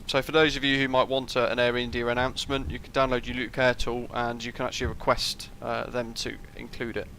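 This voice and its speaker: Bright-voiced British guy streaming. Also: mid-range voice, a little fast, few pauses, slight background noise. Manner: relaxed, rambling, many fillers, answers chat. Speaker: flight sim streamer. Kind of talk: casual live-stream commentary.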